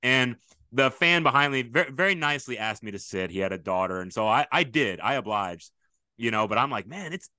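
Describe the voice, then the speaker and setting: A man hosting a podcast in a casual interview chat, with a big booming voice and a loud, enthusiastic way of talking. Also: lively intonation, brisk, dry recording. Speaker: podcast host.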